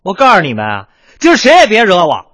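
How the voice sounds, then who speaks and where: A fussing Beijing comic. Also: male voice, high voice, huge pitch swings, fairly bright tone, dry recording, studio quality, full character voice. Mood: irritated. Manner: rapid comic patter, fussing and fawning. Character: harried male crosstalk comic and teahouse boss. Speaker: comedian. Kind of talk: radio crosstalk drama.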